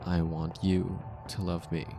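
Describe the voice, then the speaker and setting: Man acting a very deep-voiced possessive mafia boss. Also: flat delivery, a little slow, over music. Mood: demanding, intent. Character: possessive male mafia boss, smug, commanding, obsessively devoted. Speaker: ASMR roleplay voice actor. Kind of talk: intimate ASMR roleplay acting.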